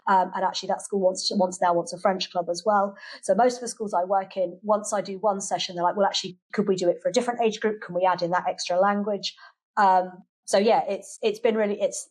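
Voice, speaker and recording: British woman, middle-aged, podcast guest. Mid-pitched, chatty, halting delivery with emphatic repeats. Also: a little mellow, low-quality recording. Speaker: podcast guest, children's language class teacher. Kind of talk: conversational interview answers.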